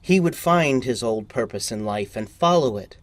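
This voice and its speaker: A man reading a short story as a narrator, in deliberate, measured phrases. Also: mid-range voice, lively intonation, no echo.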